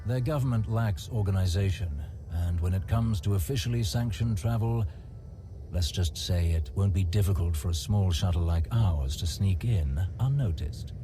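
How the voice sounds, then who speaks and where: An actor playing a self-assured, smooth-talking male guide in a video game, wry, wordy and genteel. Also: deep voice, normal speed, lightly acted. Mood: confident, wry. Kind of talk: acted game cutscene dialogue. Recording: music behind.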